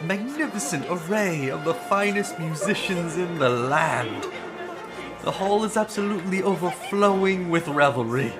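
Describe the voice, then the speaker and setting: Haughty prince, grandiose, slow declamatory delivery, wildly varied pitch, audio drama, music behind. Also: male voice, lively intonation. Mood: elated. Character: haughty, exuberant, self-deluded prince, grandiose and boastful. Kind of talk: full-cast audio drama acting.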